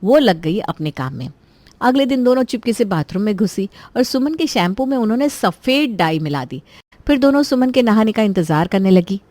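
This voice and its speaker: A woman's voice: medium pitch, big pitch swings, normal speed, darkish tone, dry recording. A woman narrator telling a scripted Hindi cartoon moral story in a chatty, folksy style.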